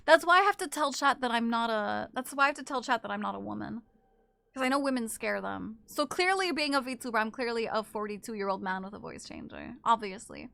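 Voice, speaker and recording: A woman livestreaming a game and chatting casually with viewers, animated, joking and sweary. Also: a little high, brisk, vocal fry, smooth, dry recording. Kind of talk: casual gaming stream chat.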